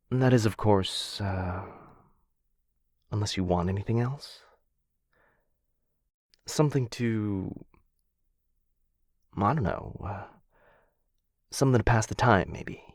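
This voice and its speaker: A man's voice: fairly low pitch, dry recording, clean studio sound. A man voicing a possessive, doting dragon captor in a boyfriend ASMR fantasy roleplay, theatrical and coaxing, with hesitant musing. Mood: musing, awkward.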